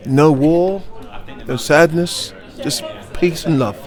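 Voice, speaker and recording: Playful, jokey male actor, slightly high. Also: takes it slowly, darkish tone. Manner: jokey, laughing often, theatrical. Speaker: pantomime actor. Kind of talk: playful interview answers.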